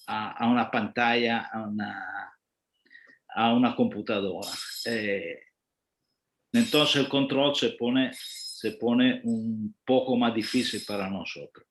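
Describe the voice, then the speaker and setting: A man who is not a native speaker asks a question on a video call. He speaks slowly and haltingly, repeating himself as he searches for words. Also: lowish voice. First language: not Spanish. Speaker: parent asking a question.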